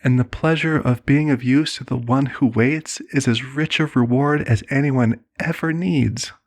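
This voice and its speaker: Man playing slow, soothing cult leader. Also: medium pitch. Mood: patronizing, persuasive. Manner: slow, soothing, condescending, persuasive. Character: serenely manipulative male cult leader, a chosen lamb. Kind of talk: ASMR roleplay monologue.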